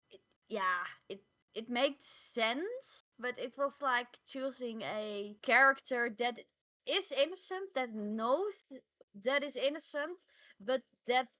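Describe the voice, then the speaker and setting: A woman co-hosting a podcast in casual, halting discussion, repeating phrases for emphasis. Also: a little high, a bit bright. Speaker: podcast co-host.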